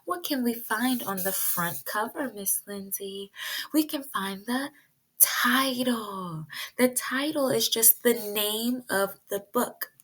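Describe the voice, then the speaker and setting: A woman preschool teacher leading a virtual read-aloud lesson for young children, speaking in simple, deliberate phrases and putting questions to the children. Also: on the high side, fairly bright tone, breathy edge, low-quality recording.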